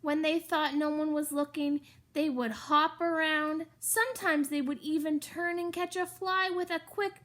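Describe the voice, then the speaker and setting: Woman, high bright voice, slow pace, expressive children's read-aloud, chatty asides. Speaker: storybook reader.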